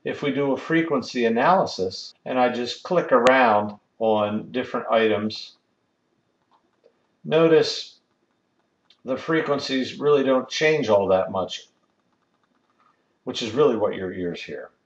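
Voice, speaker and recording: A man's voice: mid-range voice, measured pace, long pauses, reverberant, lo-fi sound, slight background noise. A man giving a methodical, step-by-step technical lesson as an instructor. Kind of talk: explanatory screen-recorded lesson.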